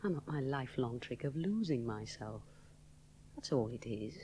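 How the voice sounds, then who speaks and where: A woman's voice: extremely low pitch, animated, moderate pace, dark tone, slight background noise. An actress playing a young woman aviator alone over the sea, musing inwardly, often dropping to a whisper. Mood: brooding. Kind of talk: 1970s radio drama inner monologue.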